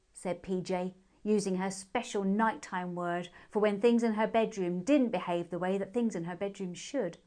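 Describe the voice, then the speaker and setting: A woman with a British accent reading storybook narration aloud expressively for children. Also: mid-range voice.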